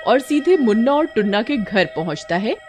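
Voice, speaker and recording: A woman's voice: a little high, sing-song, moderate pace, darkish tone, soft background music. A woman narrating a cartoon story from a script.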